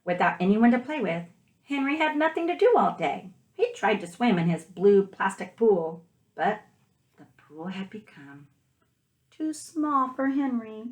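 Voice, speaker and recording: A woman teacher reading a picture book aloud to young children, expressively, with gentle questioning asides to the listeners. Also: a little high, big pitch swings, measured pace.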